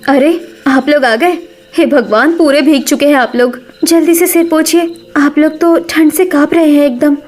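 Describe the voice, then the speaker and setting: A woman's voice: high-pitched, fairly even intonation, normal speed, darkish tone, soft background music, close to an everyday voice. A woman voicing a caring, eager young daughter-in-law in a cartoon village story. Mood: concerned. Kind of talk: Hindi cartoon story dialogue.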